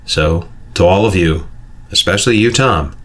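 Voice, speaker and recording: Middle aged guy, really low voice, reading out a written fan tribute, measured and eloquent. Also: fairly bright tone. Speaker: fan sending in recorded feedback to a podcast. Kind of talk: scripted personal tribute.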